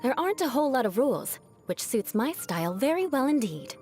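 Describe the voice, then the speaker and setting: A woman's voice: high-pitched, big pitch swings, brisk, darkish tone, faint music behind. A woman voicing a proud young aristocratic knight in a video game, with a haughty, formal, teasing manner and a vengeful streak that hides a caring side. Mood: proud, reflective. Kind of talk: scripted video game character voice lines.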